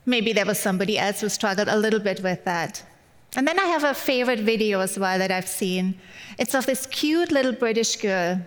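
A woman's voice: medium pitch, lively intonation, fairly quick. A middle-aged woman from Germany with a German accent, giving a personal, lightly humorous church sermon as a guest speaker. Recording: long hall-like reverb.

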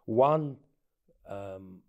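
A man speaking as an interview guest in casual anecdotal talk, often halting and repeating words as he goes. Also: on the low side, animated, phone-quality sound. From born in North Africa, has lived in England and the United States. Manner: halting, stammered repetitions of words. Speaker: interview guest, former presidential candidate.

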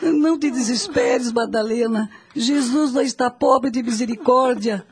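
An actress playing an elderly, compassionate mother superior in a radio soap opera, husky and creaky, solemn and consoling in formal speech. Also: a little high, normal speed, natural voice. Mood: grieving, consoling.

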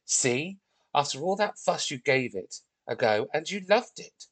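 A bossy young storybook girl, as a man puts her on, with a raised pitch. She is outspoken and emphatic, quick to take charge. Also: very animated, fairly bright tone, slightly rough, dry recording, heavily acted. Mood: smug, puzzled. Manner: scolding, emphatic.

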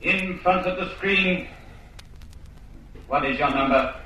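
An actor playing a stern, menacing voice of a totalitarian telescreen in a radio drama, commanding and declamatory. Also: male voice, fairly high pitch, takes it slowly, bright, forceful tone. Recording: echoing, distant old radio drama recording.

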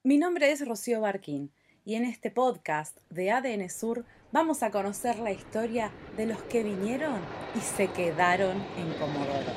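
A woman's voice: mid-range voice, expressive, moderate pace, noisy background. A woman with an Argentine accent hosting and interviewing on a podcast, moving between scripted and conversational delivery.

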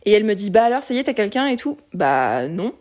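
A woman in her late twenties talking candidly in a casual conversation, lively, with many fillers and restarts. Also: mid-range voice, fast talker. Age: late 20s.

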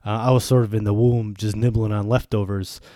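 A man's voice: lowish voice, flat delivery, fairly quick, mellow, no echo, clean studio sound. A man of thirty hosting a solo podcast, venting candidly in a sardonic, freely swearing way. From born in Germany to Bosnian refugees, raised in the US. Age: 30s. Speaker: podcast host.

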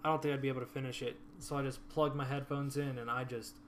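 A man chatting casually about a hike, relaxed and quick to laugh. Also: mid-range voice, slight background noise. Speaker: hiker recounting a trip. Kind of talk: casual chat.

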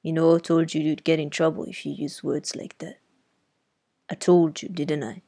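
A firm middle-aged uncle with a lowered, commanding voice, acted by a woman narrator. He speaks in short orders and reproaches. The voice is slightly breathy. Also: flat delivery, normal speed, warm tone, dry recording. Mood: stern.

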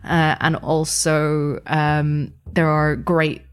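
Female guest, deep-voiced, conversational and a little hesitant. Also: little pitch movement, extremely slow, clear, resonant tone. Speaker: interview guest, audio drama producer and editor. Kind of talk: casual interview conversation.

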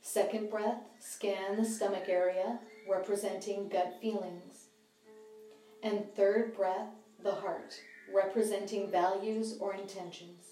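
Female meditation guide, soft-edged mellow tone, calm measured phrasing, recorded slightly away from the mic with music behind. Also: medium pitch. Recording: slightly distant roomy sound, music behind.

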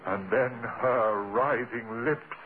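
A man's voice: medium pitch, slow pace, close to an everyday voice. An actor playing a tormented, haunted man sliding into madness, narrating with anguished, melodramatic repetition in old radio horror style. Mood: brooding, desperate. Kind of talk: 1940s radio horror acting, first-person narration. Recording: tinny, noisy old-time radio broadcast.

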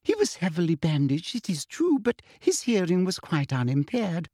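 A man's voice: a little high, very animated, moderate pace, darkish tone, breathy edge, dry recording, clean studio sound, pitched above the performer's own voice, full character voice. A man voicing a prim, smug middle-aged woman official with a sickly sweet manner. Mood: sugary smug.

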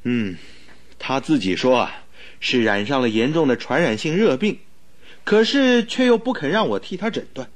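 An actor playing an earnest, courteous doctor in a radio drama, speaking politely and with concern. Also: male voice, medium pitch, very animated, normal speed, natural voice. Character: earnest, courteous male doctor, detective's worried friend. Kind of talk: Mandarin radio drama acting.

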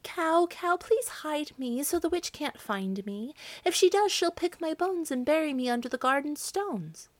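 A woman voicing a kind little girl begging to be hidden, in a high pleading voice. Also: expressive, unhurried, few pauses, no room sound.

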